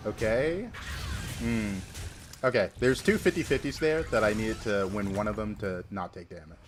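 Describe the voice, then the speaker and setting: A man's voice: lowish voice, moderate pace, background noise. A young man from Georgia streaming a card game, chatting casually and thinking aloud with lots of fillers. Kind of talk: casual livestream commentary.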